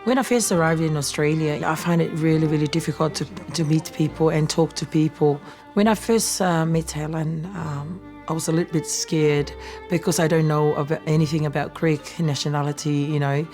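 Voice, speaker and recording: Deep-voiced Samoan woman over music. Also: fairly even intonation, moderate pace. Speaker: interviewee sharing her story. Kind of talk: personal documentary interview. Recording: loud music bed behind voice.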